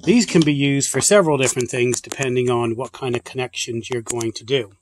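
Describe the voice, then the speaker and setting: A man's voice: mid-range voice, a little fast, slight background noise, low-quality recording. A man hosting a prepper channel, talking casually off camera as he unboxes gear on a porch and thinks aloud with loose restarts. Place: porch and yard, outdoors.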